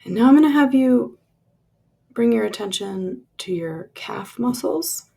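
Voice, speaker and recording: Slow, very creaky woman guiding meditation. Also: a little high, expressive. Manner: soft, lingering phrasing, trailing into breathy releases. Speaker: meditation guide. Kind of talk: guided relaxation meditation, slow spoken instructions.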